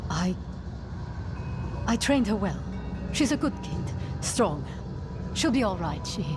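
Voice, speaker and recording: A woman playing a devout, obsessed scholar mother in a fantasy game cutscene, halting and fervent in her delivery. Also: medium pitch, very animated. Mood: anguished, resigned. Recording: cinematic music behind.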